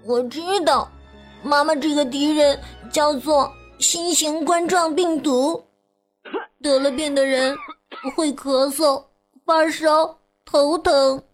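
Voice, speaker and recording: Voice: sits high, fairly even intonation, slow, faint music behind, rough recording. A performer playing a young, clingy child with a whiny, pleading manner in a children's radio drama. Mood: subdued. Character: young child, clingy, tearful, upset at busy mother.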